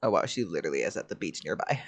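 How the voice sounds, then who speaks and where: Dry, animated game streamer. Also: low voice, fairly quick, husky, dry recording. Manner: informal, reactive. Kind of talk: casual gameplay reaction commentary.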